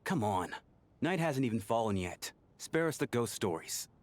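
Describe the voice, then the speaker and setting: A man's voice: medium pitch, very animated, normal speed, close to an everyday voice. An actor playing a casual, skeptical man in a video game, with a wry, teasing way of talking. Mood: mocking.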